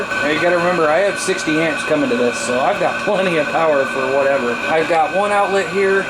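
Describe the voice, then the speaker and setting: A man giving a casual, folksy walk-around vlog to his viewers, talking informally into a handheld camera. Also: a little high. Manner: informal, folksy, addresses viewers as "you guys". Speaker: YouTube vlogger. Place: backyard shed and outdoors on an RV. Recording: loud motor and air-conditioner noise behind voice.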